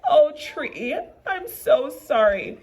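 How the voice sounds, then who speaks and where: Woman voicing an earnest, high-pitched young boy fox. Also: sing-song, very slow, darkish tone, slightly rough, full character voice. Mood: earnest, worried. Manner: earnest, exclaiming. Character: worried, caring young male fox cub.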